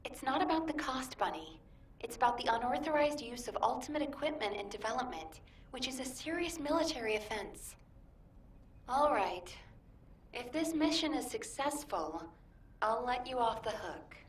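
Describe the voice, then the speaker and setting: A woman's voice: expressive, normal speed, pauses often. A woman playing a stern, authoritative military superior in a video game, firm and admonishing. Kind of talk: scripted video game dialogue. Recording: echoey, distant, faint rumble.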